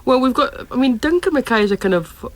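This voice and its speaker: Woman, radio host, fast talker, brisk friendly questions. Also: sits high, expressive. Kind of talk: radio phone-in hosting.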